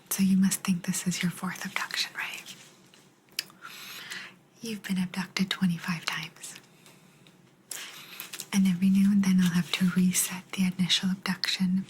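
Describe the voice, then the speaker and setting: A woman playing a gentle, patient alien abductor in a soft, breathy ASMR whisper, confiding closely in the listener. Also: fairly low pitch, lightly acted, low-quality recording. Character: gentle, apologetic female alien abductor explaining a simulation.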